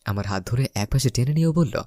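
A young man narrates a romantic comedy story in a theatrical, first-person way. His mid-pitched voice swings up and down with lots of expression, and the sound is dry and close. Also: animated, quick pace, dark tone, dry recording. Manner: theatrical, acts out his lines.

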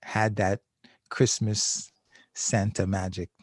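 A man's voice: fairly low pitch, little pitch movement, takes it slowly, slightly rough, dry recording. A middle-aged man hosting a casual podcast, telling stories animatedly and acting out quotes with laughing asides. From Haiti. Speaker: podcast host, social worker.

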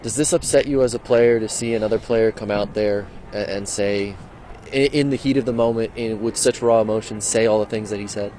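A man's voice: fairly low pitch, fairly even intonation, a little fast, warm tone, poor audio. A man in his thirties giving a live postgame TV report from an outdoor football stadium at night, speaking into a handheld mic in an earnest, questioning way. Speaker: sports reporter. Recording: faint stadium noise outdoors.

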